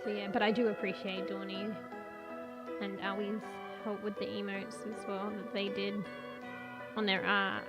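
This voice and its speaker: A woman streamer chatting casually with her viewers, rambling with lots of fillers and the odd swear, her voice going a bit hoarse. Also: mid-range voice, little pitch movement, normal speed, rough recording. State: losing her voice, coughing. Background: music.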